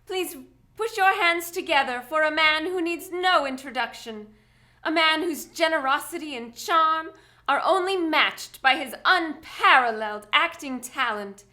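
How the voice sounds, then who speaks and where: A woman's voice: high-pitched, measured pace, bright, forceful tone. A woman playing a gracious, wealthy socialite in a live radio drama, formal, polished and composed.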